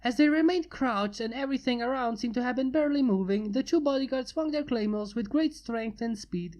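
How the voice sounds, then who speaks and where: A woman reading fan fiction aloud in a steady narration. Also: on the high side. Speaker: fanfic reader. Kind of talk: amateur fanfic narration.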